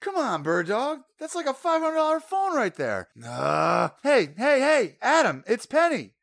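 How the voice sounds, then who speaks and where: A woman's voice: high voice, wildly swooping pitch, unhurried, creaky, heavily acted. A woman playing an earnest, exasperated special agent with a casual, emphatic delivery, in an audio drama. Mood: pleading.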